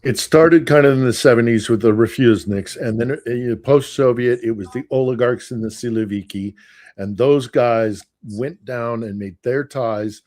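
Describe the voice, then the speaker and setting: Man, mid-pitched voice, measured, explanatory, panel guest on a video call. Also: a little mellow. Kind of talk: casual discussion.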